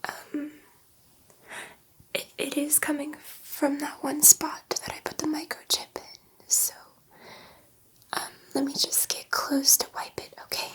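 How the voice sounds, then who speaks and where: A woman playing a gentle, excitable alien scientist in an ASMR sci-fi roleplay, speaking in a soft breathy whisper with a hesitant, rambling, reassuring manner. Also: high voice, takes it slowly, long pauses. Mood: gentle, flustered.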